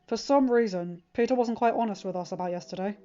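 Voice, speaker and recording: A woman's voice: mid-range voice, quick pace, mellow, lightly acted. A woman voicing a concerned, commanding fatherly man in an audiobook, serious and decisive. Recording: dull lo-fi recording.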